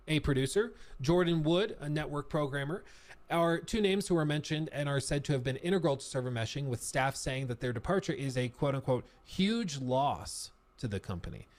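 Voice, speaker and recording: A man narrating a news report in a measured way, adding wry asides. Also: fairly high pitch. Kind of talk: reading a news report with comments.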